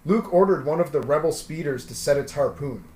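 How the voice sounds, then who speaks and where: Male storybook reader, lightly high-pitched, dramatic delivery, noticeably echoey room. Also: lively intonation, brisk, mellow. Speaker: storybook read-aloud host. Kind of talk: scripted children's story narration. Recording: roomy echo.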